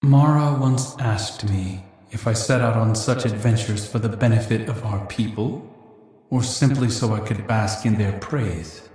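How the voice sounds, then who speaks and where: An actor playing a gentle, brooding, philosophical hero in a video game, speaking in a soft, measured, pensive way. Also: male voice, on the low side, close to an everyday voice. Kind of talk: dramatic video game monologue. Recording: very echoey, reverberant sound.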